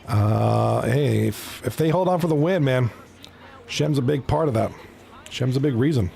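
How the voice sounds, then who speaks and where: A man's voice: medium pitch, measured pace, vocal fry, dark tone. A man from Toronto giving casual live commentary on an esports hockey league, chatting with his viewers between plays. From Canada (Toronto).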